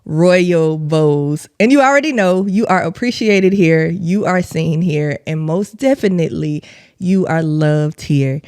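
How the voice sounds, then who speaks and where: A woman hosting a podcast with a hoarse, worn-out voice, chatting in an upbeat, giggly, slangy way. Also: low-pitched, measured pace, darkish tone, dry recording, clean studio sound. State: hoarse, losing her voice. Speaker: podcast host. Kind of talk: casual interview chat.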